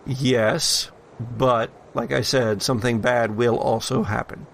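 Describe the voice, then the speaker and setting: A man working as a game master, narrating tabletop horror in a deliberate, suspenseful way with drawn-out pauses. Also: mid-range voice, fairly even intonation, creaky, fairly bright tone, slight background noise.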